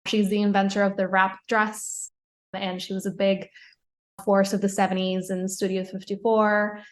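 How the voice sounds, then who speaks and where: A woman's voice: mid-range voice, level tone, normal speed. A young woman from Puerto Rico answering interview questions in a chatty way, with frequent fillers, restarts and light laughs. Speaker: podcast guest, fashion writer.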